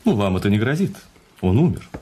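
A man acting in a radio drama as an urbane, patient notary who speaks in a measured, courteous way with teasing emphasis. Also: fairly low pitch, big pitch swings, warm tone, breathy edge. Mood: courteous, coaxing. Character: urbane, patient male notary breaking news of a fortune. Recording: studio radio play with faint music.

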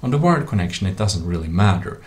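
A man with a low, deep voice presents a tech tutorial as a voice-over. He explains things in a casual, practical manner. His English has non-native phrasing. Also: big pitch swings, fast talker. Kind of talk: casual explanatory screen-recording narration. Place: voice-over for a screen recording.

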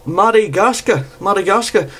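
A man's voice: sits high, lively intonation, normal speed, a bit bright, breathy edge. A man chatting casually as an amateur radio operator, often tagging his phrases with "there". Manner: repeatedly tags phrases with "there". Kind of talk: casual radio contact chat.

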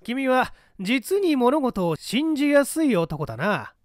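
A man's voice: very high voice, big pitch swings, takes it slowly, no echo, clean studio sound, pitched above the performer's own voice, heavily acted. A man voicing a hearty, pompous older bureau chief in an audiobook, talking in a grand, scornful, patronizing way. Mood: teasing, patronizing. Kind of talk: audiobook character acting.

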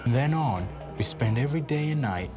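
A man narrating a documentary in a measured, reflective voice-over. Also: mid-range voice, lively intonation. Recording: voice-over with music beneath.

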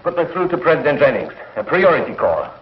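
An actor playing an agitated, dutiful space commissioner with emphatic, clipped delivery in 1950s television serial style. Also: male voice, a little high, big pitch swings, fast talker, a little hiss or hum. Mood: indignant. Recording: muffled 1950s film soundtrack.

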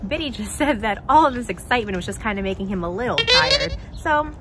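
High, swooping woman, kids' show host. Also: big pitch swings, fast, pushed and bright, a little hiss or hum. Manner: exaggerated, sing-song, encouraging, as if to small children. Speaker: children's puppet show host. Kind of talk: playful children's show presenting, chatting to a puppet.

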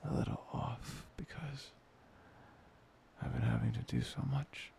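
A man performing an intimate boyfriend ASMR roleplay, murmuring softly and tenderly, breathy and often dropping to a whisper. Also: mid-range voice, steady tone, slight background noise. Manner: soft, tender, murmuring with long pauses.

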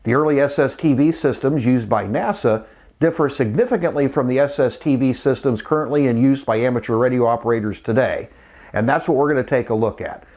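A man's voice: mid-range voice, fairly quick, a little mellow. Middle-aged man, casual tutorial host. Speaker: host of a vintage electronics channel, amateur radio hobbyist.

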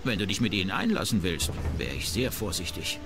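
Guarded street-smart trainer, game role. Low-ish, animated, casual, music underneath. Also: male voice, fairly quick. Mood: cautious, wry. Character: cagey male townsman, streetwise trainer. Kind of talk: video game character dialogue.

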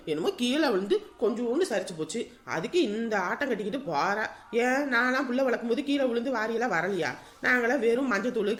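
A woman performs a dismissive old mother-in-law in a family melodrama. She grumbles quickly, and the recording is echoey. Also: a little high, fairly bright tone. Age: older. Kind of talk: melodramatic family drama acting.

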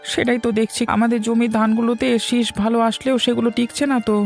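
A man's voice: extremely high pitch, little pitch movement, quick pace, creaky, a little mellow, soft background music. A man playing a blunt, stubborn village farmer in an educational cartoon. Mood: regretful. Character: scoffing, stubborn village farmer, neighbour of the hero.

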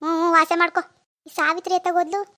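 Woman as a loud, bossy rural mother-in-law. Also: very high voice, little pitch movement, fast. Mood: gruffly concerned. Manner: brusque commands in rustic speech. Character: scolding, bossy but easily softened rural mother-in-law. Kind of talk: amateur domestic drama acting.

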